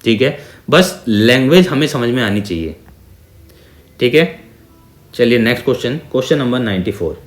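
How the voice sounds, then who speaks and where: A man's voice: mid-range voice, lively intonation, normal speed, pauses often. Echoey Indian man teaching maths step by step. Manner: didactic, with frequent check-in questions and repeated phrases; mixes Hindi with English terms. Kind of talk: exam-prep lesson, working through problems step by step. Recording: echoey, reverberant room sound.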